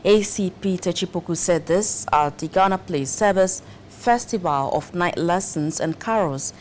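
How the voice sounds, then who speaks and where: Woman news anchor, lowish voice, formal, faint hum behind. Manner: measured, formal delivery. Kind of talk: studio news presenting.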